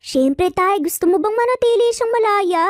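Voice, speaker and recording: Very high-pitched, spirited little boy. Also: expressive, moderate pace, no room sound, clean studio sound, faint music behind. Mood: excited. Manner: exaggerated childlike speech, playing little detectives. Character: spirited six-year-old triplet boys, cheeky and earnest.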